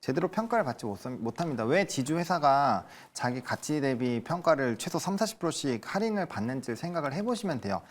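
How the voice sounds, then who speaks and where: Male market analyst, conversational, lively moving pitch, talking through stocks live. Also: a little fast, hardly any pauses.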